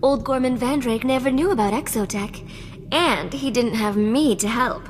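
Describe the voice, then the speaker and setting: A woman's voice: fairly high pitch, normal speed, in a large hall, slight background noise, lightly acted. An actress playing a perky, girlish, gushing holographic assistant in a video game. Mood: perky, proud. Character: bubbly, girlish, adoring female holographic assistant. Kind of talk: scripted video game acting. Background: music.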